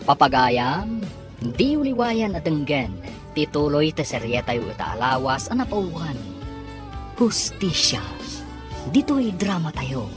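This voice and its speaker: A male radio serial announcer with an Ilocano accent delivers scripted, dramatic narration. Music plays clearly behind his voice. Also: a little high, big pitch swings, unhurried, pauses often.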